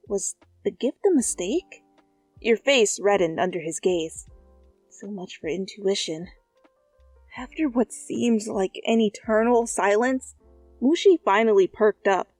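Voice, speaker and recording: A woman narrating a second-person fan fiction story in a playful, conversational way, with animated asides. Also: mid-range voice, a little slow, no room sound, faint music behind. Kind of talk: expressive second-person story narration, ASMR fanfic reading.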